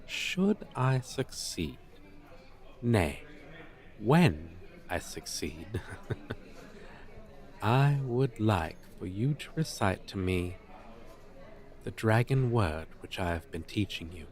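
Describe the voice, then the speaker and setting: A man's voice: fairly low pitch, big pitch swings, slow pace, pauses often, darkish tone. A man playing a pompous, flirtatious dragon teacher in an ASMR roleplay, speaking in florid, formal, condescending phrases. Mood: flirtatious, teasing. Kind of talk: ASMR roleplay, slow theatrical delivery.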